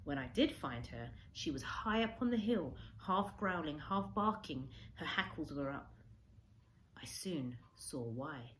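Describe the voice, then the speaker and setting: A woman reading a storybook aloud as a teacher would, expressively, with measured dramatic emphasis. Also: sits low, lively intonation, a little hiss or hum, poor audio.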